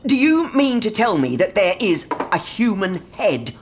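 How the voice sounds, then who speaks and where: An actress playing a stern, exasperated constable's wife in an audio drama, sceptical and scolding. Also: female voice, medium pitch, big pitch swings, unhurried. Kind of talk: full-cast audio drama acting. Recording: faint noise with clicks.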